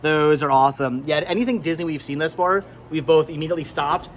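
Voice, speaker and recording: A man's voice: fairly high pitch, quick pace. A middle-aged American man chatting casually and enthusiastically in a rambling vlog style, often repeating words as he goes. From Pennsylvania, United States. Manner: enthusiastic, nostalgic, stammering repeats. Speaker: host of a thrifting vlog. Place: flea market, among vendor stands. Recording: clear background noise, flea market ambience.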